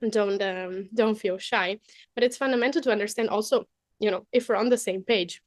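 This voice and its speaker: A woman's voice: mid-range voice, normal speed, no echo, clean studio sound. Italian woman hosting a webinar. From Italy. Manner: chatty, many fillers and "okay?" tags. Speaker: webinar presenter. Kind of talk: live webinar presentation.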